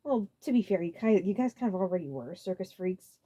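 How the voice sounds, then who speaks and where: A woman's voice: fairly low pitch, expressive, fairly quick, warm tone, dull audio. A woman voicing a bumbling, flustered male wizard creature in a story, defensive and flippant.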